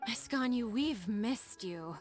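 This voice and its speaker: Slow-talking, weary young worker. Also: female voice, on the high side, expressive, soft background music. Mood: adoring. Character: weary, adoring young female office worker. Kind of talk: anime game voice acting.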